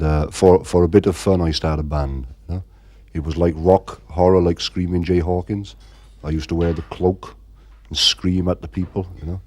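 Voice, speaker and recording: Low, dark-voiced middle-aged man rambling. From Ireland. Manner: rambling, constant "you know" fillers. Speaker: band frontman. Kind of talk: casual interview.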